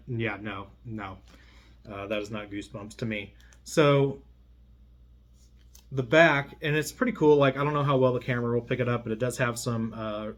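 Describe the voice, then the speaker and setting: A man chatting casually and unscripted as he presents an unboxing video, in a rambling, conversational way. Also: medium pitch. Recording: clear steady background hum.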